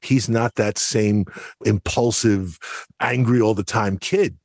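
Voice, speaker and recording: American guy breaking stuff down on a podcast, deepish husky voice, emphatic. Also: takes it slowly, no echo, studio quality. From United States. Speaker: podcast commentator. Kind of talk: conversational analysis.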